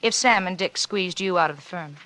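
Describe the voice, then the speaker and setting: An actress playing a cunning, coolly blackmailing wife in a 1940s radio suspense drama, with a coy, teasing, insinuating manner. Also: female voice, on the low side, brisk, sharp tone. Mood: coolly calculating. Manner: coy, teasing, insinuating drawl with theatrical swoops.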